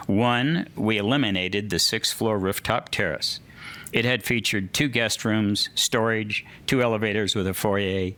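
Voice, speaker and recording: A man's voice: fairly low pitch, sharp tone. A man presenting plans for a hotel to a city commission at a public meeting, reading from a prepared statement, listing points with a few fillers.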